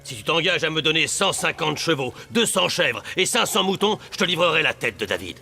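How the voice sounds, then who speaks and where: Sharp, gravelly, cocky mercenary chief. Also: male voice, on the high side, sing-song, brisk, soft background music, heavily acted. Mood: haggling. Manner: cocky, hard-bargaining. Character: boastful, greedy mercenary warrior chief bargaining for a bounty. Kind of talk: dubbed animated Bible drama.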